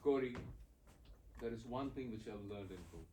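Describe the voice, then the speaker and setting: An actor playing a tired, buttoned-up young lawyer husband, exasperated and lecturing. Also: male voice, medium pitch, normal speed, warm tone, far from the mic, natural voice. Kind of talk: stage play acting. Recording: echoey room, faint noise.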